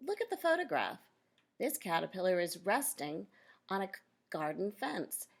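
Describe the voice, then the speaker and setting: A woman reading a children's nonfiction book aloud as a teacher, pausing to explain and question her young listeners directly. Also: lowish voice, very animated, gravelly.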